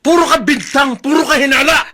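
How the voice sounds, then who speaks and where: A man playing a hot-tempered gambler husband, shouting defensively in a radio drama. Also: extremely high pitch, steady tone, brisk. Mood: angry, defensive.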